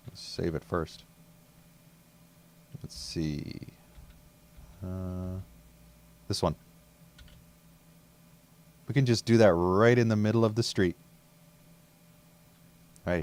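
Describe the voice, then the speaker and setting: A man casually commenting while playing a video game, laid-back and muttering to himself. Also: low-pitched. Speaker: let's play host.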